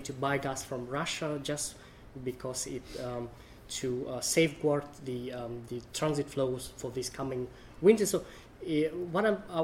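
A man giving a halting academic panel talk, often pausing to search for words. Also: a little high, a little mellow, a little hiss or hum. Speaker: panel speaker.

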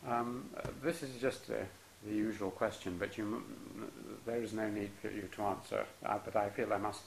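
A male interviewer asking questions politely and hesitantly, with many ums and soft acknowledgements. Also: fairly low pitch, level tone, moderate pace, vocal fry, slight background noise, poor audio. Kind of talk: oral history interview.

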